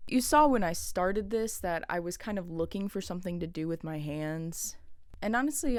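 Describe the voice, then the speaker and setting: A woman's voice: lowish voice, a little mellow, no echo. A young woman vlogging casually to camera, chatty, with dry humor and frequent laughs.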